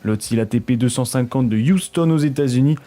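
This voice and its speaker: French guy doing his weekly sports news, warm mellow tone, chats right to the viewers. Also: mid-range voice, a little fast. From France. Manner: chatty, addresses viewers directly, stumbles over foreign names.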